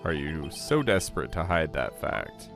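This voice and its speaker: Man acting an earnest, animated young defense attorney. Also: a bass voice, a little slow, over music, heavily acted. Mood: pressing. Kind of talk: amateur video game voice acting.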